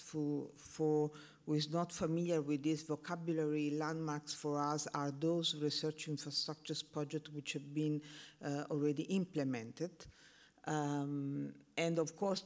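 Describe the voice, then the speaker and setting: Italian man with a high voice presenting. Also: darkish tone. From Italy. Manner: halting, repeats words and fills with uh. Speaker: conference presenter. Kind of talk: conference talk.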